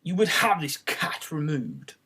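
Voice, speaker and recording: A reader plays a pompous storybook king, bossy and fussy. The voice rises and falls in lively, indignant-sounding sweeps. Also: medium pitch, unhurried, breathy edge, exaggerated voice. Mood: indignant, haughty.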